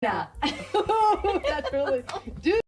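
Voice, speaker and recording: High, lively, slow-spoken woman. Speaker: contestant in a played language video. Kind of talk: quick translation game answers.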